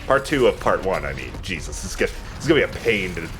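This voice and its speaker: Low-voiced man casually commenting on gameplay. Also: very animated, fast talker, fairly bright tone, faint music behind. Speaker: let's play host and player.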